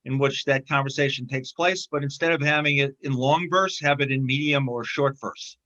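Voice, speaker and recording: A man speaking as a guest in a casual interview, talking haltingly with many fillers and restarts. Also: mid-range voice, fairly even intonation, pushed and bright, muffled recording. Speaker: film distributor, interview guest.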